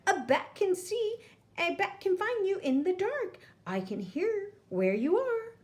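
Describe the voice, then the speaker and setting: Woman putting on a shrill cackling bat villain. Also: big pitch swings, measured pace, a little mellow, exaggerated voice. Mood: gleeful taunting. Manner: squealing, giggling.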